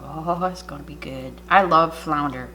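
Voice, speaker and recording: A woman's voice: low voice, moderate pace, pushed and bright. Woman, native French speaker, casual cooking vlog, chatty and rambling, home kitchen, faint hum. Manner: chatty, rambling, self-interrupting. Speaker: cooking YouTuber.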